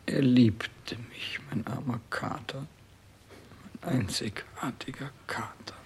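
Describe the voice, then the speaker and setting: An actor in a 1960s German radio play playing a dying king who grieves, husky and gravelly, halting and mournful. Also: mid-range voice, in a whisper. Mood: tender, haunted. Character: dying old king grieving for his lost cat.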